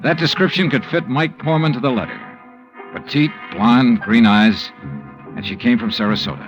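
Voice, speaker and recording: An actor playing a hard-boiled insurance investigator who narrates and questions people in a dry, clipped, matter-of-fact way, in 1950s radio drama style. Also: male voice, medium pitch, normal speed, close to an everyday voice. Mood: narrating. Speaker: narrator and lead detective. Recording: muffled old radio broadcast over music.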